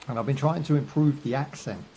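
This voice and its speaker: A man's voice: medium pitch, fairly quick, mellow, slight background noise. Chatty British man streaming a game. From United Kingdom. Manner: chatty, rambling asides with jokes and fillers. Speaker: gaming streamer. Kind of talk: casual live gameplay commentary.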